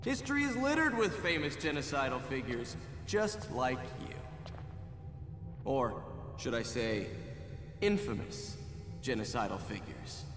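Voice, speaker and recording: A man's voice: mid-range voice, very animated, unhurried, long pauses. An actor playing a cocky, sarcastic young male journalist in a video game, brash and defiant. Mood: sarcastic, taunting. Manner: sardonic, taunting, brash. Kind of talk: dramatic video game acting. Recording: echoey hall, long reverb, faint music.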